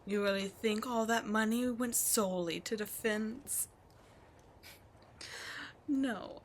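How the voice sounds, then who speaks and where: A woman's voice: medium pitch, natural voice. A woman voicing a scheming demon queen in an ASMR roleplay, sly and mocking, often slipping into whispers, with faint noise behind. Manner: sly, taunting, drifting into whispers. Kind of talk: ASMR roleplay audio drama.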